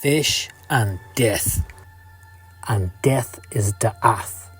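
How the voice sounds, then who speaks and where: A man's voice: on the low side, drawn-out words, breathy edge, heavily acted. A Scottish man playing a cynical, down-at-heel occult hustler, telling his story in a sardonic, streetwise way for a motion comic. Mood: brooding. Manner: sardonic, streetwise, foul-mouthed. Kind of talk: dramatic first-person comic narration. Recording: music under the voice. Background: music.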